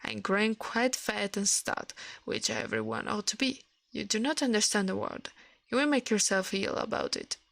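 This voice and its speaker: A woman's voice: mid-range voice, very animated, moderate pace, gravelly, a bit bright, no echo. A woman voicing a man's living shadow in an ASMR storybook reading, pompous, smug and condescending. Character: man's shadow come alive, smug, condescending, self-important master.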